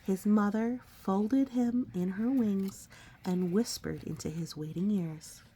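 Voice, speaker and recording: A woman reading a picture book aloud to a young child, expressive and coaxing, often dropping into a breathy whisper and adding chatty asides. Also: medium pitch, slow pace. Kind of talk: storybook read-aloud with conversational asides to a child.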